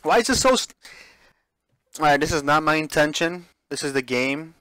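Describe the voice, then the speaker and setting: A man's voice: on the high side, expressive, normal speed, pushed and bright, clear, resonant tone, no echo, poor audio. A man streaming a visual novel, chatting casually as he plays and reading the text aloud, sometimes stumbling and correcting himself.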